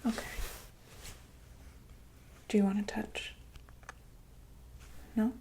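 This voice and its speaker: A woman whispering softly and breathily in a gentle, reassuring ASMR medical roleplay, speaking close to the microphone. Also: mid-range voice, flat delivery, drawn-out words, vocal fry, breathy edge. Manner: gentle, reassuring, hesitant check-up patter. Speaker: ASMR roleplayer playing a doctor for monsters.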